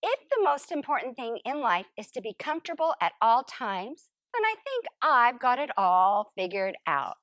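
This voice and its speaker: A woman voicing a smug, lazy couch potato in an expressive, theatrical storybook read-aloud. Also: fairly high pitch, dry recording, clean studio sound. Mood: smug, boastful. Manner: theatrical, exclamatory, drawn-out emphasis. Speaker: storybook narrator character. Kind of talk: expressive first-person picture book read-aloud.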